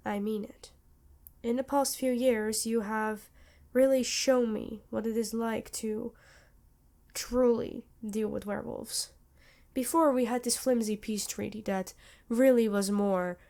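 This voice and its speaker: A woman performs a centuries-old vampire queen with a soft, mellow tone. She is regal yet motherly, protective and worried. Her ASMR roleplay speech is formal and tender, set out in measured phrases with pauses. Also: on the high side. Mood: earnest, confiding.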